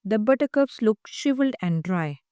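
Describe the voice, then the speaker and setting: A woman's voice: medium pitch, animated, moderate pace, warm tone, clear, resonant tone, no room sound, studio quality. A woman reading a children's picture book aloud as scripted story narration.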